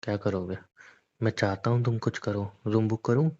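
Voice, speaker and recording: A man's voice: fairly low pitch, fairly even intonation, moderate pace, dark tone, close to an everyday voice. A man playing a stubborn, teasing young boyfriend in a romantic phone-call roleplay, argumentative and coaxing in casual conversation. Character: stubborn, teasing young boyfriend defending his boys' trip. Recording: dull lo-fi phone call recording.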